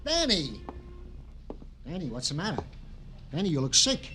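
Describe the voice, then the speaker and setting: Excitable, high-pitched New police sergeant. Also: male voice, normal speed, soft background music, exaggerated voice. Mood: anxious, solicitous. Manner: fussy, eager, folksy mangled grammar. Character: fretful, devoted, slow-witted police sergeant sidekick. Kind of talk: old-time radio drama acting. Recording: noisy old radio recording.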